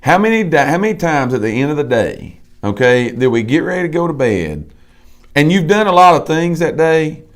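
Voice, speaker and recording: Male co-host, lively and emphatic, swooping pitch, slightly high. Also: moderate pace. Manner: animated, folksy preacher-like emphasis. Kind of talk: casual live show chat.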